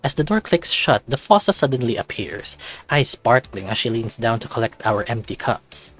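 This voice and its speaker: A man's voice: mid-range voice, lively intonation, moderate pace, a bit bright. Man expressively narrating over music. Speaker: YouTube visual novel reader. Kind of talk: expressive story narration read aloud.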